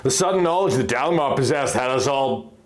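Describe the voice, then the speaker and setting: A man's voice: mid-range voice, animated, brisk. A man narrating a first-person fantasy story in an earnest, theatrical storytelling manner.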